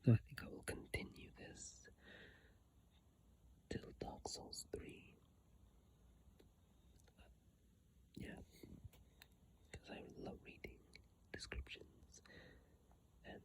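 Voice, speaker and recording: A person whispering in an ASMR style, breathy and airy, reading aloud with informal, halting, unhurried asides into a phone microphone up close. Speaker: ASMR content creator. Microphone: iPhone microphone, close up.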